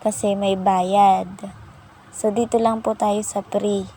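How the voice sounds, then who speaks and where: A woman narrates an app tutorial, guiding viewers patiently and politely through each step. Her voice is soft and mellow, and her delivery is slow and even, with little rise or fall in pitch. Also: slight background noise, poor audio. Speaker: tutorial channel host.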